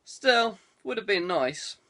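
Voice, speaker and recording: A man's voice: high-pitched, lively intonation, takes it slowly, bright, forceful tone, pitched above the performer's own voice, exaggerated voice. A man voicing a jaunty, playfully posh ex-cadet saboteur with breezy banter in an audiobook. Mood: wistful teasing.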